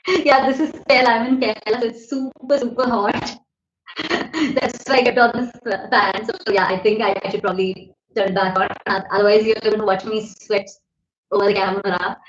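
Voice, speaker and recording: A woman in her thirties with an Indian English accent, hosting a casual live Q&A from her bedroom and filming on her phone. She has a loud voice, chats easily, laughs often and thanks viewers by name. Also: mid-range voice, steady tone, fairly quick. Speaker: livestream host. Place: bedroom at home. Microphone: phone camera at desk distance. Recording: echoey room, dull phone audio, faint hiss.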